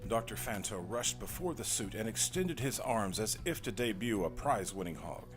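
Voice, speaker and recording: A man's voice: fairly low pitch, expressive, moderate pace. A man delivering dramatic scripted narration in a theatrical, mock-serious way. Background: music.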